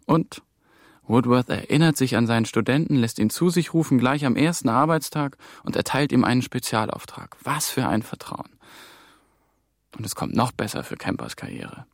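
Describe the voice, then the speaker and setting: An actor playing a hunted young male EU trainee who narrates his own story in a tense, hushed inner monologue. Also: medium pitch, no echo, clean studio sound, close to an everyday voice. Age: young adult. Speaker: narrator and protagonist. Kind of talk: radio drama inner monologue.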